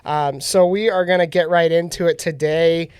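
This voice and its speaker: A man's voice: a little high, normal speed, smooth, no room sound. Nasal-sounding man hosting a radio show. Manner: laughs often, animated storytelling. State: nasal from recent illness. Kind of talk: casual chat.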